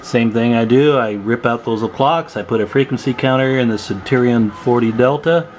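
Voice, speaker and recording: A man giving a casual, informal hands-on demonstration as a hobbyist, unhurried, recorded on a handheld camera in a room at home. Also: mid-range voice, darkish tone, slight background noise. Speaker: CB radio hobbyist showing his equipment. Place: indoor room at home with radio gear. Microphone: handheld camera microphone, speaker off screen.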